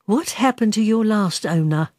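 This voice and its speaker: A woman's voice: medium pitch, lively intonation, normal speed, dry recording, lightly acted. Woman acting a stern, accusing woman, dry recording. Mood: probing. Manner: sharp, commanding, accusing. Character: stern, suspicious woman in sheltered housing questioning her robot.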